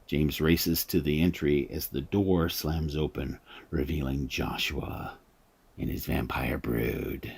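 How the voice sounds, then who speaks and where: A man's voice: rumbling low, steady tone, slow, gravelly, darkish tone, slightly rough. A man hosting and narrating a radio drama as a dramatic storyteller. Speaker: host and narrator. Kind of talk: scripted narration.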